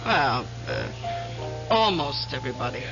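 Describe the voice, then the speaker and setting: A folksy, gossipy American waitress for an old radio drama. She rambles in loose everyday speech with little hesitations. Music plays clearly behind her, and the recording is dull. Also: rumbling low, wildly swooping pitch, unhurried, full character voice. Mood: wistful, gossipy. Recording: muffled radio tape under clear music.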